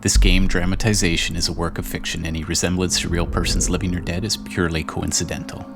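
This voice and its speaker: Deep-voiced male story narrator. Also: little pitch movement, quick pace, music in the background. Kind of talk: scripted dramatic narration of a game story.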